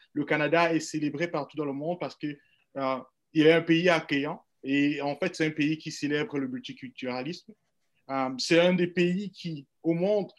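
Man from cameroon on a radio panel, careful formal talk, lots of euhs, fairly high voice. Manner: measured, formal, with fillers. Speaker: panel guest.

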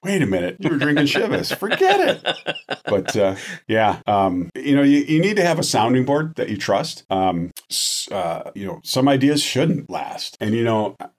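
A man's voice: medium pitch, big pitch swings, moderate pace. A middle-aged man talking casually and self-deprecatingly as an interview guest, in a loose, rambling way. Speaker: guest, audio drama writer and director. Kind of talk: casual interview talk about writing.

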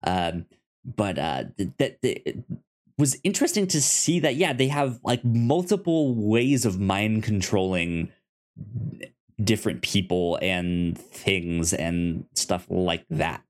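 Slow, halting man chatting. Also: on the low side, animated. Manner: halting, repeats words, trails into vague phrasing. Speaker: podcast co-host. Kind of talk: casual film discussion chat.

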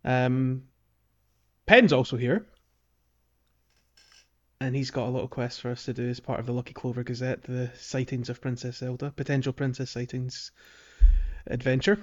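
A man's voice: mid-range voice, level tone, moderate pace, pauses often, highs cut off. A man hosting a casual gaming podcast, talking in a meandering way with lots of fillers and restarts. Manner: meandering, many fillers and restarts, laughs often. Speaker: podcast host.

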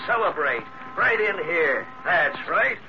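A man's voice: on the high side, sing-song, takes it slowly, music in the background. An actor playing a jovial drunk man in a 1940s radio drama, slurring and repeating himself in an over-friendly way. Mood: tipsy, chummy. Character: loud jovial drunk man carousing in a bar. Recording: noisy muffled vintage radio recording.

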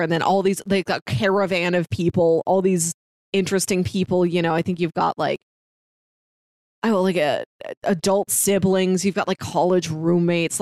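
A woman's voice: lowish voice, dry recording, studio quality. A woman co-hosting a podcast, chatting casually as she gives recommendations and retells stories, acting out bits of imagined dialogue. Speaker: podcast co-host giving recommendations. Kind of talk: casual chat about films, books and audio dramas.

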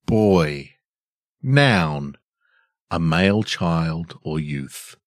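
A middle-aged man hosting a podcast and reading stories in a measured way. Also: sits low, lively intonation, dry recording, studio quality, soft background music. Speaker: podcast host and story reader. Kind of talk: scripted story narration and casual podcast outro.

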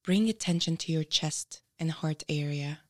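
A woman leading a guided meditation, giving measured instructions with frequent pauses. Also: deep voice.

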